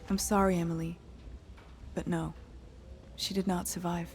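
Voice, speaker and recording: A woman playing a caring governess in a video game. Her slightly low voice is gentle, prim and polite, set over faint background noise. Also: flat delivery, moderate pace, close to an everyday voice. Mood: gently sorrowful. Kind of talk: video game character acting.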